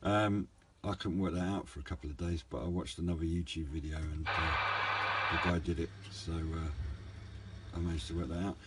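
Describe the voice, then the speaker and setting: A man giving a casual, unscripted hobbyist product review in a hesitant, low-key, rambling way. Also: fairly even intonation, normal speed. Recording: clear background noise, room ambience.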